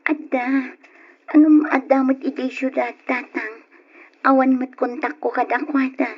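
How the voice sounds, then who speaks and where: A woman's voice: sits high, little pitch movement, a little slow. A woman acting an affectionate, worried young wife in an old Ilocano radio drama. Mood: worried, subdued. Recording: dull, noisy old radio recording.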